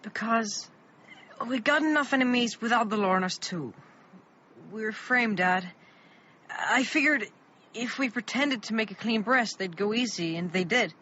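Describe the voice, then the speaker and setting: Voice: mid-range voice, normal speed, bright, forceful tone. A performer playing an earnest, anxious thirteen-year-old small-town boy, urgent in manner, in a radio drama. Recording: muffled, noisy radio play recording.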